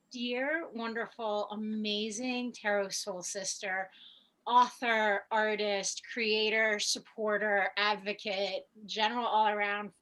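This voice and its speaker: A woman chatting casually on a video call as host, with an effusive, excitable and gushing way of talking. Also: on the high side, low-quality recording. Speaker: host of a tarot gathering.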